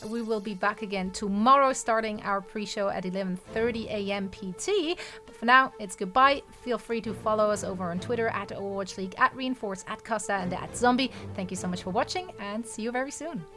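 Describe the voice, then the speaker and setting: A woman hosting a live esports desk show, upbeat and brisk, laughing easily with her co-hosts. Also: medium pitch, pushed and bright. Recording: music bed behind.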